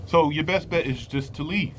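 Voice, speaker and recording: A man's voice: fairly high pitch, normal speed, fairly bright tone, slight background noise, poor audio. A man in his late thirties talking casually and off the cuff to viewers, using colloquial street slang. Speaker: vlogger giving a personal testimony. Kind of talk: casual unscripted personal talk to viewers.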